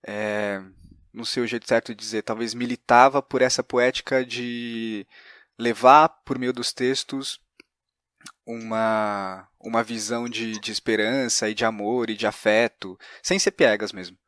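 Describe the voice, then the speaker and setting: A man's voice: mid-range voice, fairly even intonation, unhurried, fairly bright tone, no echo, clean studio sound. A man with a Brazilian accent hosting a conversational interview, hesitantly searching for his words. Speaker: podcast host.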